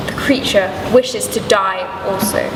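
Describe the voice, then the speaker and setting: A woman's voice: mid-range voice, a little slow. A young woman delivering scripted narration as a storyteller. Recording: echoey church hall, distant mic, noisy.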